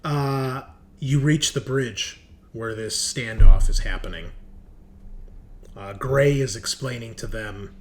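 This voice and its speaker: A man's voice: medium pitch, takes it slowly. A man casually narrating a tabletop roleplaying game as game master. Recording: noticeable background noise.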